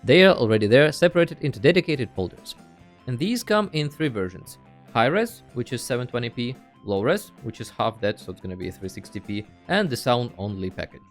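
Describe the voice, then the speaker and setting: Lively man narrating a casual tutorial. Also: mid-range voice, fairly quick, soft background music. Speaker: host of a video game tutorial channel.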